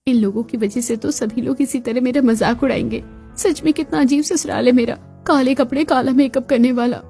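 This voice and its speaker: A woman voicing a put-upon young bride in a family cartoon, expressive and dutiful, given to muttering. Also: high-pitched, a little fast, soft background music. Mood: exasperated.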